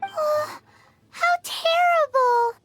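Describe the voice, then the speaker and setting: A woman voicing a tiny, cheeky, blunt childlike female fairy in a video game, with a squeaky voice. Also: extremely slow, heavily acted. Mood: upset. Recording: game music under the voice.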